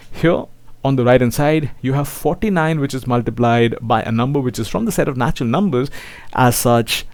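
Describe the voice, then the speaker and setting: A man's voice: mid-range voice, darkish tone, dry recording. A man teaching maths in an online lecture, addressing students directly and often checking for agreement. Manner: seeks agreement with frequent tag questions; addresses listeners as children.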